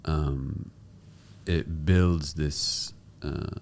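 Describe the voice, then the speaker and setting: A man's voice: rumbling low, steady tone, very slow, creaky, a little mellow, a little hiss or hum. A man from the United States and the UAE hosting a podcast, interviewing a guest in a casual conversational way. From born in the United States, raised in the UAE from 1992.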